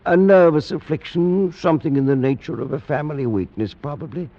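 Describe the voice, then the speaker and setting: Frail, haunted Roderick Usher, high-strung nobleman. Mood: anxious confiding. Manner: theatrical, halting, swinging between weary and frenzied. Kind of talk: old-time radio horror acting. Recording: muffled vintage radio recording.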